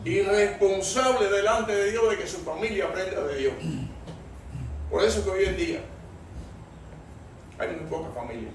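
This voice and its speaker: An older man with a Cuban Spanish accent preaching to a congregation, emphatic and repeating phrases, swinging between loud and hushed delivery. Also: high-pitched, moderate pace, pauses often, a little hiss or hum, low-quality recording. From Cuba. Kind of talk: sermon, teaching a church congregation.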